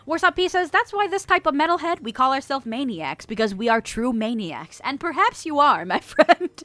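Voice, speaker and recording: Bubbly, lively-pitched woman streamer. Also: no echo. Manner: bubbly, giggly, chatty with drawn-out greetings. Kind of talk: casual livestream chat reading viewer messages.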